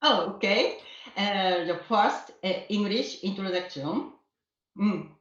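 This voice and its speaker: Slow Japanese woman, distant and echoey. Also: mid-range voice, a bit bright. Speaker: panel guest, water sommelier. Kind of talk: careful prepared introduction. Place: online video call. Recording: muffled, echoey, distant video-call audio.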